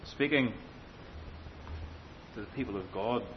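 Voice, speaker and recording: A man preaching an expository sermon, speaking with emphatic, deliberate phrasing, rhetorical questions and repetition. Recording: dull lo-fi sound, faint rumbling noise.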